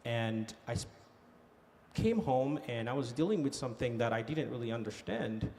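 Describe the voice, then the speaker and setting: A man who grew up in Haiti speaks on a conference panel, relating his own experience in an easy, story-telling way with plenty of "uh" and "um". His pitch sits in a middle range. A faint background noise runs under the voice. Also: moderate pace, gravelly. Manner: animated storytelling with frequent fillers. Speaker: panelist, economic development director. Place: conference hall.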